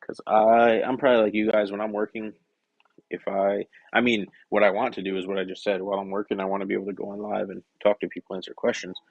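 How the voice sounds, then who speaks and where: A young man chatting casually on a work-shop live stream, rambling and correcting himself, his voice hoarse and scratchy from a cough. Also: lowish voice, level tone, fast, no room sound, narrow, tinny audio. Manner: rambling, self-correcting, soft-spoken asides. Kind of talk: casual live-stream chat while working.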